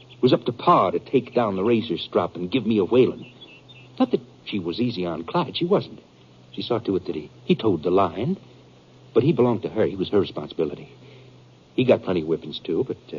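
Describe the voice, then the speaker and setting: An actor playing a bitter, brooding western outlaw in a 1950s radio drama, speaking in halting, rustic, confessional fashion. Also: male voice, sits low, very animated, slight background noise. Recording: dull 1950s radio recording.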